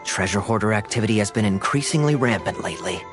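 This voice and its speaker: A man playing a suave, good-humoured young cavalry captain in a video game, smooth and courteous. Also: lowish voice, animated, quick pace, over loud music, lightly acted. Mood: relaxed, courteous.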